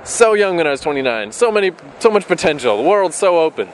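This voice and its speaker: A man's voice: a little high, sing-song, quick pace, low-quality recording. A man in his late twenties walking through a rainy city, musing casually into his camera and swearing freely. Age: 20s. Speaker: vlogger. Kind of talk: walking vlog, rambling reflection. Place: outdoors on rainy city streets. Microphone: handheld camera mic. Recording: outdoor camera audio with street noise. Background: street and traffic noise.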